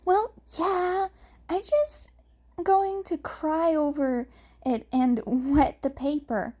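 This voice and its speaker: Woman as hesitant, high-voiced young boy. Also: slow pace, dark tone. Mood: playful.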